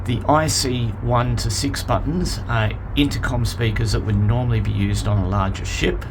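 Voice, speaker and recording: A man with a gravelly, creaky voice walks through an equipment demonstration step by step. He is plain and methodical, like an instructor explaining controls. Clear ambient noise sits behind him inside a vessel. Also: medium pitch, fairly even intonation, moderate pace.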